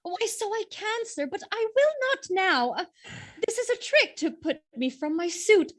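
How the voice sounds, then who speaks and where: An actress playing a devoted, innocent noble wife, earnest and pleading, in a Shakespearean audio drama. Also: female voice, very high voice, very animated, normal speed, a bit bright, heavily acted. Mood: insistent, flustered.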